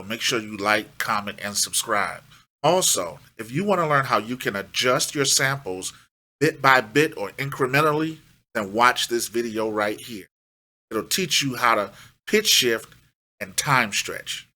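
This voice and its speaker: A man's voice: medium pitch, expressive, a little slow, bright, forceful tone. A middle-aged man giving a casual, step-by-step music production tutorial, emphatic and repeating his key phrases.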